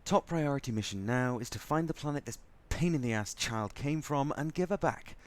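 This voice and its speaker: A man's voice: medium pitch, expressive, moderate pace, natural voice. An actor playing an exasperated, sarcastic, foul-mouthed crewman in a science fiction audio drama. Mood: sarcastic, irritated. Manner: sardonic, ranting.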